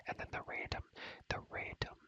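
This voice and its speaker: A man's voice: brisk, muffled recording. A man whispering an ASMR video game tour, rambling and repeating his phrases over and over. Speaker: video creator showing a Minecraft world.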